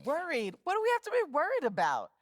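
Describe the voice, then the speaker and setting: A woman's voice: high-pitched, wildly swooping pitch, fast, no echo, studio quality. A woman playing a sassy, fawning sidekick in a video game comedy scene. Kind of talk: comic video game cutscene acting.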